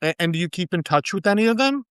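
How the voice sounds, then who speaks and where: A man hosting an interview podcast, reading a formal scripted introduction and then asking questions in a measured way. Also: sits high, expressive, fairly bright tone, smooth, no echo, clean studio sound. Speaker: podcast host.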